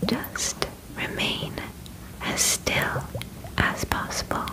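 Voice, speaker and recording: Whispering young woman, slow and deliberate. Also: low-quality recording. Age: 20s. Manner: slow, soft, deliberate, formal clinical phrasing. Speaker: ASMR roleplayer acting as alien examiner. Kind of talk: whispered ASMR personal-attention roleplay. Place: small bedroom, indoors.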